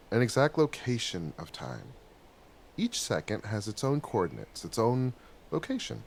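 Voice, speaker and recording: A man acting a gentle, bookish middle-aged father in a stage play, explaining things patiently and tenderly, sometimes haltingly. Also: mid-range voice, slight background noise.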